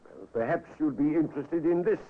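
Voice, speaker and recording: A man acting a suspicious, insinuating Victorian gentleman in a 1950s radio drama, speaking pointedly. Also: fairly high pitch, a little fast, a little hiss or hum, natural voice. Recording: thin, phone-like old radio recording.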